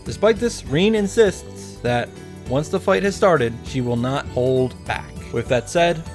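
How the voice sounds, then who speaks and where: Male recap host, slightly high voice, joking and wry, scripted but casual, faint music underneath. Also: lively intonation, a little slow, darkish tone. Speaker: video host recapping a visual novel.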